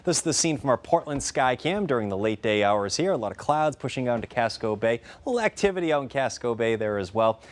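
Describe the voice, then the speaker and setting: A man's voice: medium pitch, expressive, quick pace, hardly any pauses. An American man presenting a conversational TV weather forecast. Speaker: TV chief meteorologist.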